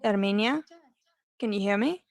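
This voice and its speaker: A woman giving live interpretation of a panel discussion, speaking in halting phrases with pauses and self-corrections. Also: mid-range voice. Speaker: simultaneous interpreter.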